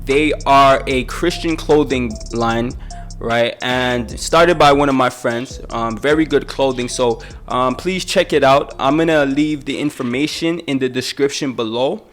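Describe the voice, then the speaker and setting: A young man hosting a podcast in a relaxed, casual way, with frequent "um" and "right?". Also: mid-range voice, unhurried, soft background music. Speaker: podcast host. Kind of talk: casual interview chat.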